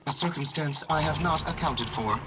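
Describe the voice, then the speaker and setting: A man's voice: a little high, moderate pace, over loud music, close to an everyday voice, low-quality recording. A man voicing an ancient, earnest clockwork automaton in a video game, with a grandiose, theatrical manner. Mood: solemn, helpful. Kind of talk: AI-voiced video game dialogue.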